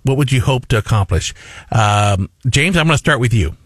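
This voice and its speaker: A man's voice: medium pitch, expressive, moderate pace, fairly bright tone, dry recording. A middle-aged man hosting a radio talk show, earnest and rambling, restarting his sentences often. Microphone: studio microphone.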